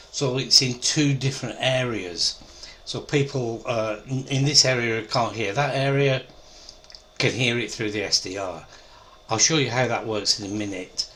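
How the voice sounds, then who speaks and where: Slow, bright-voiced man explaining step by step. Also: mid-range voice, slight background noise. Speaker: amateur radio presenter. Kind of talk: informal explainer tutorial.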